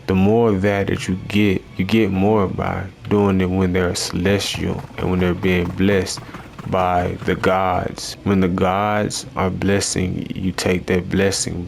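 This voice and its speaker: A man with a very deep voice and a gravelly rasp to his tone drifts through improvised gameplay commentary. He meanders from thought to thought in a slow, deadpan, self-amused way. A faint noise floor sits under the recording. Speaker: gaming YouTuber.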